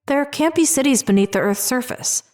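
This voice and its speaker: An actress playing a doubting but curious scientist in an audio drama, questioning politely. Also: female voice, high voice, animated, normal speed, darkish tone. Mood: doubtful. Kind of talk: dramatic audio fiction acting.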